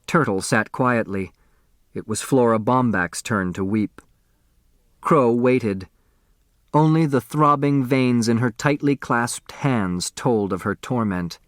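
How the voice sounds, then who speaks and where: A man reading a novel as an audiobook narrator, with dramatic, expressive scripted delivery. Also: medium pitch, no echo, clean studio sound.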